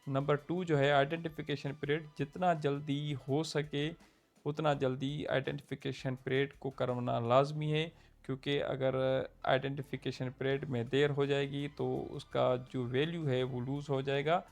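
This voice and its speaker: A man's voice: mid-range voice, level tone, a little slow, soft background music. A young man with a Pakistani Urdu accent explains a point of law in a casual, conversational lesson, often checking in with his listeners. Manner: conversational, checks in with "theek hai". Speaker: law lecturer.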